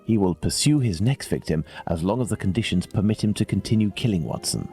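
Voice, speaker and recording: An actor playing a brilliant, composed English gentleman detective in a video game, speaking in formal, deliberate, analytical phrases. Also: lowish voice, animated, soft background music. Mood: grave, reasoning. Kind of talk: scripted video game acting.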